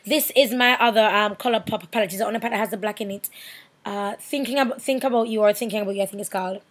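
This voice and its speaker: A hoarse young woman with a Jamaican accent, rambling casually with many fillers in a makeup tutorial voiceover. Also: medium pitch, fast. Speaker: makeup artist.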